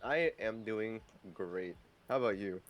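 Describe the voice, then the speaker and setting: A man's voice: on the low side, expressive, measured pace, lo-fi sound. A young man, a guest on a casual streamed podcast. He chats eagerly and laughs along.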